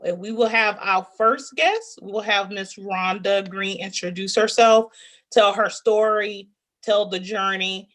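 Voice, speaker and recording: A woman hosts a casual talk show in a relaxed, conversational way. She speaks at an ordinary middle pitch and starts over now and then as she introduces her guests. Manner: relaxed, conversational, with fillers and restarts. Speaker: host.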